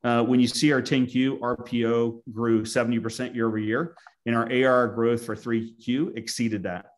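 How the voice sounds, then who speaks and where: A man's voice: medium pitch, little pitch movement, normal speed. A man speaking as a company executive, giving answers on an earnings call.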